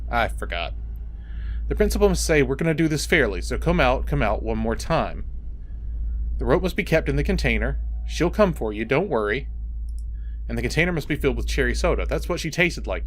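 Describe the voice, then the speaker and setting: A man hosts a horror storytime, reading aloud with a very expressive, moving pitch. His manner is informal and prone to blunt, casual asides. There is some noise behind his voice. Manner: informal, sweary reactions breaking into the reading.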